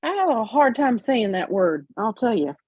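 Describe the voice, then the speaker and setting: A woman's voice: on the high side, big pitch swings, normal speed, darkish tone, husky, no echo. A woman with an American country accent, hosting a casual, folksy livestream chat while sewing, on muffled audio. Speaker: livestream host.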